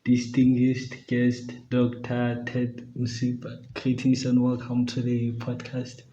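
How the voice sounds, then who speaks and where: The man who opens a local podcast episode from a restaurant table, polite and formal, mellow voice, roomy dull sound. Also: medium pitch, monotone, takes it slowly. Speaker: podcast host. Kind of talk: interview with a guest.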